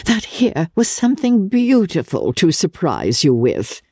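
Woman playing an arch, husky older society aunt. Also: on the low side, wildly swooping pitch, a little slow, darkish tone, no echo, clean studio sound, voice pushed up, heavily acted. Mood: arch, coy.

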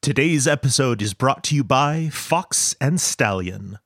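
A man's voice: medium pitch, lively intonation, unhurried, fairly bright tone, no echo, clean studio sound. A man reading scripted sponsor spots as a podcast announcer. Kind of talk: scripted ad read.

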